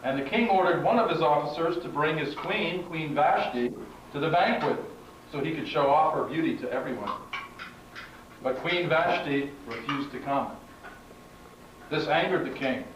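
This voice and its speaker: A man hosting and narrating a live puppet show as a storyteller. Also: fairly high pitch. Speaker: host and narrator. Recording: very echoey hall, distant mic, clear noise.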